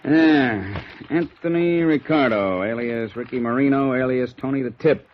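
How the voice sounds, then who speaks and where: An actor playing a breezy, slangy police sergeant with an offhand manner in 1950s radio noir style. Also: male voice, mid-range voice, measured pace, close to an everyday voice. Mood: offhand, businesslike. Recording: dull, noisy vintage radio recording.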